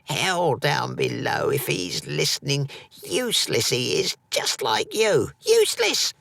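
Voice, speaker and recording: Storybook mother, old, sickly and bullying, very high-pitched and scolding, acted by a male reader. Dry recording. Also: wildly swooping pitch, slow pace, gravelly, bright, forceful tone, no echo, full character voice. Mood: bitter rage. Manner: scolding in repetitive, inverted rustic phrases. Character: harsh, ailing, bullying old peasant mother.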